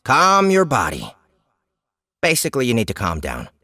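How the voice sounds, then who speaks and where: A man's voice: medium pitch, huge pitch swings, sharp tone, dry recording, voice pushed up, heavily acted. A man voicing a mocking, disembodied game announcer, a gleeful prankster who draws out notifications and teases, in a fantasy audiobook. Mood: mock solemn. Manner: teasing, theatrically drawn-out announcements.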